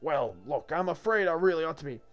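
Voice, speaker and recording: A man voicing an earnest, flustered young knight who protests politely and haltingly in a comic read-through. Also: on the high side, expressive, lo-fi sound, faint music behind, close to an everyday voice. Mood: flustered, reluctant.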